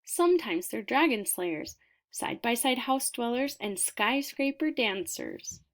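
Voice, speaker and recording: A woman with a slightly high voice narrates a children's storybook. She takes her time, very unhurried and deliberate. Her expressive reading uses emphasis and pauses for drama. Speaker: picture book reader.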